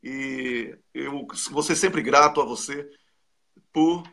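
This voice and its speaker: A man's voice: medium pitch, slow pace, pushed and bright. A man hosting a casual political talk show in an informal, joking, conversational way. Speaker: host.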